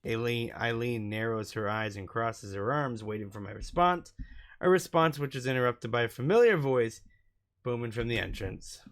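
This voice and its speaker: A man's voice: medium pitch, normal speed, a bit bright. A man doing let's play narration, reading visual novel text aloud as a gaming host and sometimes stumbling over words.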